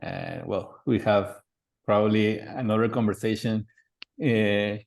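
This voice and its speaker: A man with a Spanish accent, a native Spanish speaker, hosting a podcast and wrapping up an interview in halting English that repeats words. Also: fairly low pitch, fairly even intonation, vocal fry, darkish tone. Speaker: podcast host. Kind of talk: podcast interview, closing remarks.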